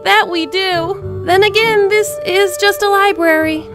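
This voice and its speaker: A woman plays an academy official in a cartoon parody, speaking in an extremely high voice. The delivery is wry and matter-of-fact. Music runs faintly behind. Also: fairly even intonation, measured pace. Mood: wry, informative. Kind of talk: comedic fan-dub voice acting.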